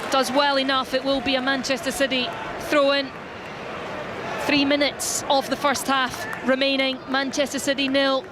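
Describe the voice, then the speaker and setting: A woman giving brisk live play-by-play commentary on a football match from a stadium. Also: high voice. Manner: brisk, descriptive play-by-play calling. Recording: stadium crowd and loud background noise.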